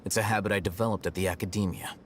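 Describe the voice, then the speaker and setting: Man playing an aloof, low-voiced young scholar. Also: steady tone, background noise, lightly acted. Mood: cool, dismissive. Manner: measured, detached, condescending, articulate. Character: aloof, cerebral young male scholar, cool and self-assured. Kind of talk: video game voice acting.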